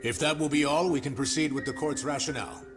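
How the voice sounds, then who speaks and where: An actor playing a stern, formal courtroom judge in a video game dub. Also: male voice, fairly high pitch, fairly even intonation, fast talker, over music, natural voice.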